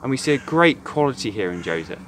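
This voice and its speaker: A man's voice: medium pitch, very animated, fast, a bit bright, slight background noise. A man, a church youth worker, gives an earnest, reflective devotional talk retelling a Bible story.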